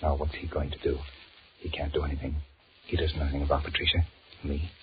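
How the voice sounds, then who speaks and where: A man's voice: a bass voice, little pitch movement, fairly quick. An actor playing a nervous middle-aged married businessman, blustering and stammering, in 1940s radio drama style on a muffled, noisy old recording. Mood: startled, anxious. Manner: stammering aloud, muttering anxious repeated thoughts. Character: panicky married businessman, blustering and cowardly, secretly scheming. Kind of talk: 1940s radio horror acting with inner monologue.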